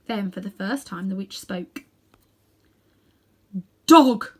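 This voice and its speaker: A woman reading a children's story aloud in an expressive narrating style. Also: medium pitch, moderate pace, husky. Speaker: storybook reader.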